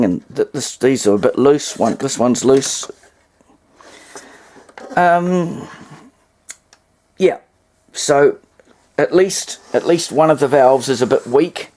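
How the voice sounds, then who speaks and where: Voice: mid-range voice, a little mellow. A hobbyist with a New Zealand accent talks casually while demonstrating equipment, rambling and thinking aloud. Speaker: vintage radio hobbyist and presenter. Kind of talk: casual hands-on demonstration commentary. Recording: clearly noisy amateur home recording.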